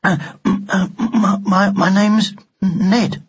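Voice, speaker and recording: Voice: medium pitch, wildly swooping pitch, takes it slowly, muffled recording, a little hiss or hum, voice pushed up. A storyteller voicing a nervous, polite boy of about eight who speaks hesitantly and stammers. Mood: startled, nervous.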